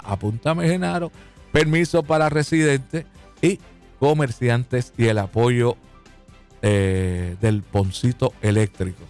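Brief: man, live radio host, a bit high-pitched, folksy banter, faint music behind. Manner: jokey, folksy, calls listeners "papá", swings pitch for emphasis.

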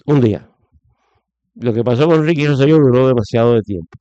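A Puerto Rican man with a Puerto Rican accent, giving forceful opinions as a guest, with lots of fillers and restarts. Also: medium pitch, a little fast, smooth, dry recording, highs cut off. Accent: Puerto Rican Spanish. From Puerto Rico. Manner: emphatic, many fillers and restarts. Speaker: guest commentator. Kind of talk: opinionated conversational commentary.

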